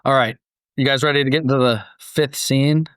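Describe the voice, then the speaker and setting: A man's voice: mid-range voice, fairly even intonation, moderate pace, a bit bright, smooth, no echo, studio quality. A man hosting a podcast, moving between dramatic story narration and casual discussion.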